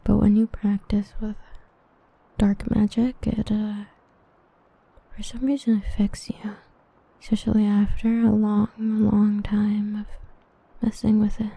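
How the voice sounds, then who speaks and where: A young woman voicing an obsessive, protective half-demon villain in a hushed, intimate ASMR roleplay whisper. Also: medium pitch, completely flat delivery, creaky, breathy edge, dry recording. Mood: hushed menacing. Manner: hushed, intimate, slow and brooding.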